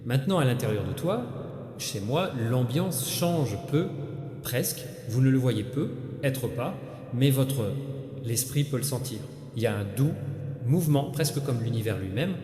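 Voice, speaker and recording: A man narrating a scripted inspirational spiritual message straight to the listener, solemn and halting, in short broken phrases. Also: medium pitch, lively intonation, mellow. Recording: echoey hall with long reverb.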